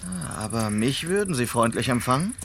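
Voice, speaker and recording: A man's voice: mid-range voice, sing-song, normal speed, gravelly, fairly bright tone, slight background noise. An actor playing a bold, inquisitive lawman in an Old West radio drama, persistent in his questioning. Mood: curious, challenging. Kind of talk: western radio drama acting.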